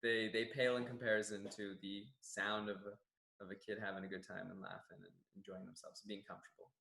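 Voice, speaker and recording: A man's voice: on the low side, little pitch movement, a little fast, vocal fry, bright, forceful tone, reverberant, low-quality recording. A young Canadian man whose first language is Farsi, a gym general manager answering interview questions in an earnest, reflective way with many restarts. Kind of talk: staff profile interview answers.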